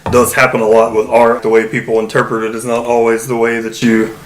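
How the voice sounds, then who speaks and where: Fast-talking man in an echoey room. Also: mid-range voice, background noise. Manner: animated storytelling, quotes people. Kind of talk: casual group podcast discussion.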